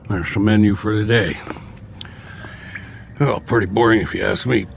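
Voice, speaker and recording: An actor playing a weary, sardonic soldier in a radio drama, gruff but not cruel, with a wry, bossy, colloquial way of talking. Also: male voice, on the low side, fairly even intonation, normal speed, slight background noise. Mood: wry, grumbling. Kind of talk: dramatic radio acting, often talking to himself. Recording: studio radio drama with music behind.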